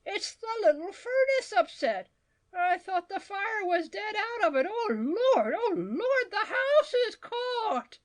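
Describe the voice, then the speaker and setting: A woman puts on a frightened old servant woman from a storybook. The voice climbs very high and swoops about. The manner is panicky and wailing, with drawn-out lamentations. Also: very animated, heavily acted. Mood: panicked wailing. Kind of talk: dramatized storybook reading.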